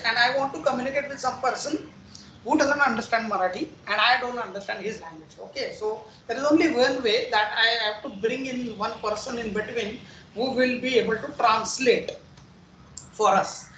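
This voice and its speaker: An instructor walks through a technical topic step by step, using everyday comparisons and frequent check-ins. The voice sits at a middle pitch. The room adds a noticeable echo, with a faint hiss underneath. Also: a bit bright. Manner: explains patiently with everyday analogies, checks in with "okay" and "right". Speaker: software testing instructor. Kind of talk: recorded technical lecture. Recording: roomy echo, faint background noise.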